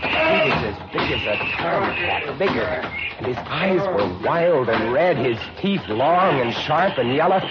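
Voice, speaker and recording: An actor playing a haunted lighthouse keeper, narrating with dramatic suspense and lingering on vivid words in 1950s radio style. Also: male voice, on the high side, lively intonation, a little slow. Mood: breathless dread. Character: haunted lighthouse keeper recounting a terror, a man. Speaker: narrator and character. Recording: muffled vintage radio recording with music.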